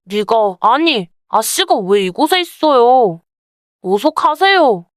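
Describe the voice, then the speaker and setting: A woman's voice: a little high, big pitch swings, takes it slowly, recorded from a distance. A woman voicing a young male bonded servant in a folktale, wary and timid but given to grumbling. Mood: anxious, deferential. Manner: deferential polite speech, wary. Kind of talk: dramatized folktale dialogue.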